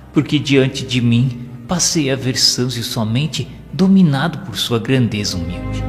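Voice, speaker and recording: An actor playing a reverent spirit pupil who narrates an audio novel in earnest, literary, measured phrases with a Brazilian accent. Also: male voice, mid-range voice, natural voice. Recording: long hall reverb, background music.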